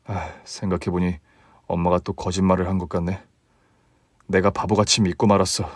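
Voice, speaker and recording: A man's voice: deep voice, flat delivery, normal speed, no room sound, clean studio sound, pitched below the performer's own voice. A man doing a meek, apologetic young husband. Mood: subdued. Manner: soft, subdued, apologetic.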